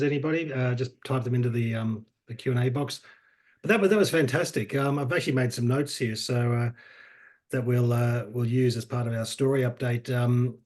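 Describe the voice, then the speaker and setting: A man's voice: mid-range voice, darkish tone. A man hosting a webinar over a video call, talking loosely with many fillers and repeated words. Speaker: webinar host.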